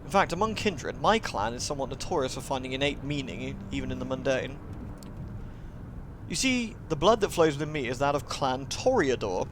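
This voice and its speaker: A man voicing a refined, courteous vampire woman in a visual novel, measured and eloquent. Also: medium pitch, sing-song, close to an everyday voice. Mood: courteous, wistful.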